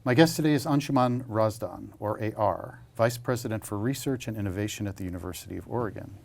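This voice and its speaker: A man's voice: fairly low pitch, fast, creaky. A man hosting an interview program, reading guest introductions and asking questions. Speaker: interview host, humanities center director.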